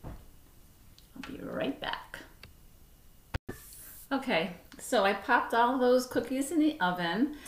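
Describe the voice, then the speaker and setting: Slow-talking woman in an echoey kitchen. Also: fairly high pitch, a little hiss or hum. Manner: relaxed, chatty step-by-step instructions while mixing. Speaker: cooking instructor. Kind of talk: home cooking demonstration. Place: home kitchen, indoors. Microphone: fixed camera across the counter. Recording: echoey kitchen with clattering dishes.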